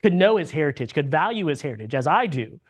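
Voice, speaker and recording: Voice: mid-range voice, very animated, quick pace. Bible class teacher from the States, conversational lesson, keeps checking in with the class and restarting sentences. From United States. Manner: checks in with frequent tag questions; restarts and repeats words mid-sentence.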